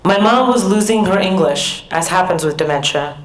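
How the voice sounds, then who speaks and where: A middle-aged American woman giving an earnest, measured personal talk as a conference speaker, with small restarts. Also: on the low side, animated. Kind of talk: personal talk at a research summit. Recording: echoey hall.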